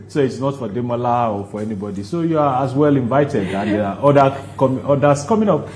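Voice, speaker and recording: A man with a Nigerian accent answering interview questions in a deliberate, halting way, explaining things at length. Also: mid-range voice, lively intonation, darkish tone, slight background noise. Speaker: guest, stage play producer.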